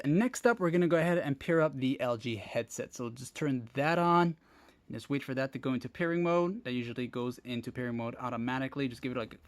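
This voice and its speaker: A man's voice: medium pitch, no echo. A man hosting a tech tutorial, talking viewers through steps in a casual, informal way and addressing them directly. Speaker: host of a phone tech tutorial channel. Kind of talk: casual step-by-step tutorial narration.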